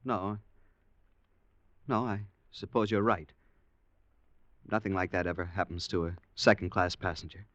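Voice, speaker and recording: An actor playing an earnest, romantic ship passenger in a 1940s radio drama, polite, hesitant and wistful. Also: male voice, low-pitched, a little slow, pauses often, a bit bright, dry recording.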